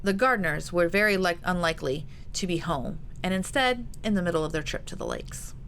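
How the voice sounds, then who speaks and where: A woman's voice: lowish voice, a little fast, fairly bright tone, slight background noise. A woman reading a novel aloud as its author, in a scripted storytelling style. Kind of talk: scripted fiction narration.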